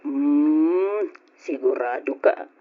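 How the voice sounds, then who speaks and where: A woman playing a caring, inquisitive auntie in an old Ilocano radio drama, with expressive, drawn-out, probing delivery. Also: low voice, heavily acted. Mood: probing surprise. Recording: dull lo-fi old radio recording.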